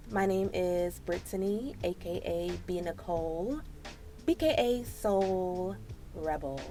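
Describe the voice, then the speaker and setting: Animated American woman telling a story. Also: mid-range voice. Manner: animated, sassy, slangy. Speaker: storytime vlogger. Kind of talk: casual personal storytelling.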